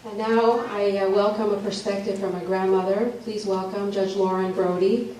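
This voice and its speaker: A woman's voice: mid-range voice, level tone, normal speed, darkish tone. A woman giving a prepared welcome speech as the host of a ceremony. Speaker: event host, camp director. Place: large echoey hall with an audience. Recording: very echoey hall, distant mic, audience, faint noise.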